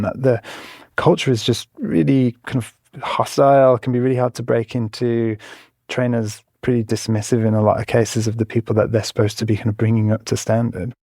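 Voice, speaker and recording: A man's voice: mid-range voice, vocal fry, a little mellow, dry recording, studio quality. A man in the role of a reporter, explaining a story in an informal, conversational way. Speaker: reporter being interviewed.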